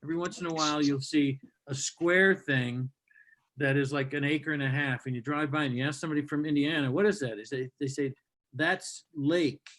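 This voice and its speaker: A man's voice: fairly high pitch, steady tone, moderate pace, fairly bright tone. A man hosting a live comedy show over a video call, riffing in a sardonic, rambling, mock-hostile way before introducing the next act. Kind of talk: stand-up banter and introductions.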